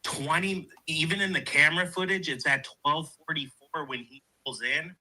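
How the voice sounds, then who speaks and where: A man talking casually and excitedly, explaining things insistently and repeating himself to get his point across. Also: fairly high pitch, moderate pace, poor audio.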